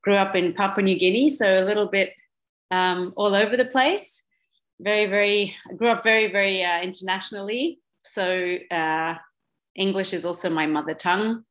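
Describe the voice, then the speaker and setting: A Swiss-born woman in her forties with a faint Australian twang speaks on a video call. She is earnest and passionate, full of fillers and restarts, at a mid pitch. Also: normal speed. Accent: slight Australian twang. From Switzerland. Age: 40s. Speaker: guest speaker, social business founder. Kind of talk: online talk with a moderator.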